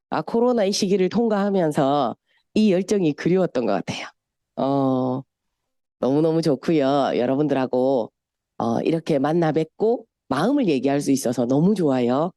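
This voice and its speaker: A woman's voice: deep voice, warm tone, no echo, studio quality. Chatty middle-aged woman with Gyeongsang accent. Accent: Gyeongsang Korean. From Busan, South Korea. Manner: chatty, storytelling, sometimes mimics voices. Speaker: speaker at a business seminar. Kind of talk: motivational seminar talk.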